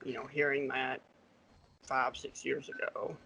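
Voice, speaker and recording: A man being interviewed as an accountant, talking in a relaxed, hesitant way with many fillers. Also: medium pitch, unhurried, vocal fry, slight background noise, low-quality recording. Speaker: accountant guest. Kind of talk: casual podcast interview.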